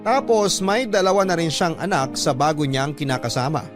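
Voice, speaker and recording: A man's voice: fairly high pitch, animated, normal speed, close to an everyday voice. A man narrating a sentimental radio love story as a devoted, protective younger sibling, earnest and reflective. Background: music under the voice.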